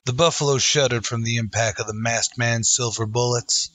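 A man's voice: mid-range voice, steady tone, moderate pace, heavy background noise. A man narrating a western adventure in dramatic, scripted old-time radio style.

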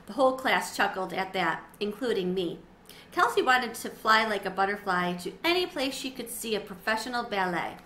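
Bright-voiced woman reading a children's book. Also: mid-range voice, moderate pace. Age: middle-aged. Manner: animated in dialogue, clear storytelling phrasing. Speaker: read-aloud storyteller. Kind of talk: expressive children's book read-aloud. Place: small windowed sunroom at home. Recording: roomy echo, faint background noise.

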